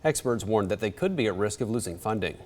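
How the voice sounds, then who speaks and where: A man anchoring a local newscast, reading the news in a steady, measured way. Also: on the low side.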